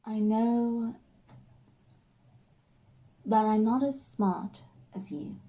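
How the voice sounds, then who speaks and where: A woman acting a hurt, defiant, fiercely loving wife in a theatrical stage monologue, with emphatic, drawn-out delivery. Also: on the high side, steady tone, mellow, lo-fi sound. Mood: wounded, restrained.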